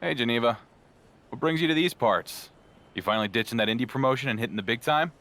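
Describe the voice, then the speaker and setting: A man's voice: mid-range voice, animated, fast, sharp tone. A man playing a confident, wry champion wrestler in a video game, with a dry, teasing manner.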